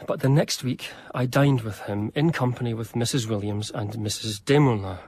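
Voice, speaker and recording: An actor playing an earnest, deferential, easily wounded gentleman who narrates and converses formally in a period radio drama. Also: medium pitch, moderate pace, lightly acted. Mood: wounded, recounting. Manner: polite, articulate, formal.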